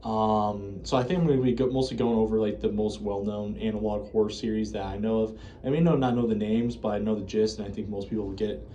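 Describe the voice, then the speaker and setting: Low, mellow American man, rambling casually. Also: steady tone, fast talker, hardly any pauses, dull audio, a little hiss or hum. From United States. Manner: rambling, hesitant, self-correcting. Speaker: video creator reviewing horror series. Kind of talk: casual unscripted commentary.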